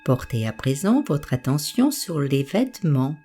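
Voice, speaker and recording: Low, slow, mellow woman guiding meditation. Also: very animated, slightly rough. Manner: soothing, gentle, unhurried instruction. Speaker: meditation guide. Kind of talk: guided meditation narration.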